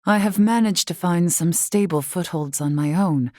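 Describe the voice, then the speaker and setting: A woman voicing a composed, scholarly otherworldly woman in a video game, with formal, measured and elaborate diction. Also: on the low side, darkish tone, dry recording, clean studio sound, close to an everyday voice. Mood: thoughtful, calm. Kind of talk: scripted video game character acting.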